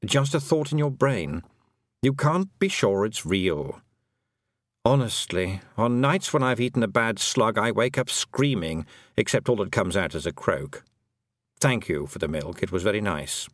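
A man's voice: fairly low pitch, expressive, a little slow, no echo, studio quality. A man voicing a musing, world-weary toad. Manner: musing, matter-of-fact. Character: dry, world-weary talking toad, male.

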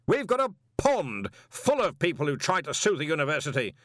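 A man's voice: high-pitched, very animated, moderate pace, pushed and bright, no room sound, clean studio sound, voice pushed up, full character voice. A man doing a bellowing old wizard. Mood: hearty. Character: booming jovial male wizard chancellor.

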